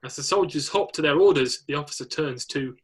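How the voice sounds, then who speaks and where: A man's voice: fairly high pitch, brisk, slightly rough. A man narrating stage directions in a scripted screenplay reading.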